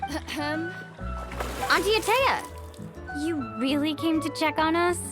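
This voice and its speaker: An actress playing a cheerful, playful young woman in a video game, chatting in a breezy, expressive way. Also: sits high, unhurried. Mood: surprised, sheepish. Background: game music.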